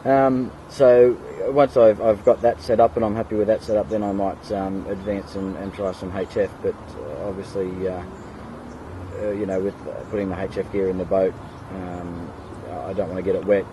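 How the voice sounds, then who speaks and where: An Australian man chatting casually as an amateur radio operator, pausing often, recorded outdoors. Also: sits low. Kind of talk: casual radio chat with spelled callsigns. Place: outdoors in a kayak on a creek. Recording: outdoor recording with steady background noise.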